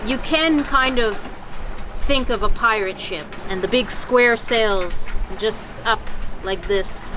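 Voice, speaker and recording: A woman in her thirties explaining sailing basics in a casual, practical way, outdoors on a sailboat with a handheld mic. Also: mid-range voice. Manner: explains with hand gestures, relaxed and practical. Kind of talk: casual explanatory talk to camera. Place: outdoors on the deck of a sailboat at sea. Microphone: handheld furry lavalier held near the mouth. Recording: faint wind and water noise.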